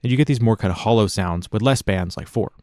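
A man narrating a casual step-by-step software tutorial in an informal, explanatory way. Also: on the low side, quick pace, no room sound, clean studio sound.

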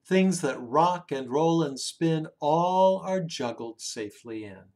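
Slow, expressive older man reading aloud. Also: on the high side. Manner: dramatic, with drawn-out words and hushed phrase endings. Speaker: children's story reader. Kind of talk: expressive picture-book read-aloud. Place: small room indoors at home.

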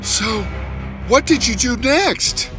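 A man playing a disapproving cartoon gentleman who questions and reproaches in an incredulous manner. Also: very high voice, lively intonation, slow pace, music in the background, full character voice. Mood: wary, curious. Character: indignant, disapproving gentleman listening to a confession.

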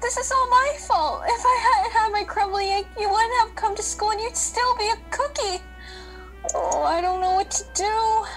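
A woman's voice: very high-pitched, moderate pace, a bit bright, faint music behind, low-quality recording. A woman playing a timid young cookie girl in a children's radio play, earnest and plaintive. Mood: tearful.

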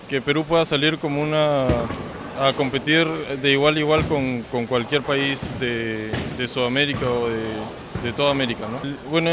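Young male athlete, Peruvian accent, halting delivery, a bit high, clear background noise. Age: young adult. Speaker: bowling athlete. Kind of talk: on-camera interview. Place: large indoor bowling alley. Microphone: handheld interview microphone. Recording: noisy bowling alley background.

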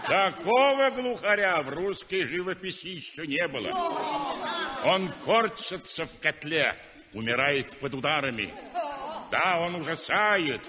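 An actor playing a passionate, idealistic champion of art, declaiming emphatically in a 1960s radio drama. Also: male voice, a little high. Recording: dull old radio recording, hall echo, crowd.

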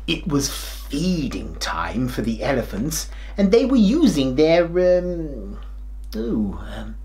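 A middle-aged man playing a kindly, jolly, forgetful old grandad king, telling a children's story in an expressive, theatrical way. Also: medium pitch, slow. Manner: theatrical, expressive, with hammed-up hesitations and chuckles.

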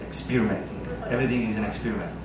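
Joking pianist in an echoey lounge. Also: male voice, on the low side, very fast, recorded from a distance, a loud crowd. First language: Italian. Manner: chatty, joking, non-native phrasing. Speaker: pianist entertainer. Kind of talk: onstage patter between piano pieces. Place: small ship lounge stage, indoors. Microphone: handheld mic, filmed by far camera.

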